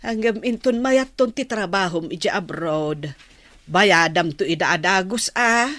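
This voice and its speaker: Slow, caring elderly mother. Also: medium pitch, lively intonation, a bit bright, dry recording. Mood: supportive. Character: caring elderly pensioner mother of a grown son. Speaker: radio drama actress. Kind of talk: radio drama acting in dialogue.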